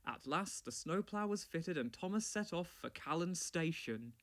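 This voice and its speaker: A man's voice: fairly high pitch, lively intonation, normal speed, fairly bright tone. A man reading scripted narration for a children's storybook read-along.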